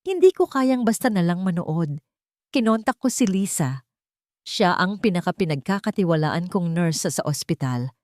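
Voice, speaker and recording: A woman voicing an earnest young male tycoon, soft-spoken, regretful and protective as he confesses. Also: lowish voice, lively intonation, moderate pace, no room sound, studio quality, close to an everyday voice.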